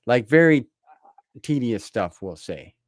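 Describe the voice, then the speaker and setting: A man's voice: medium pitch, animated, slow, no room sound, clean studio sound. A man hosting a podcast and chatting casually with his guest. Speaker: podcast host. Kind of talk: casual chat.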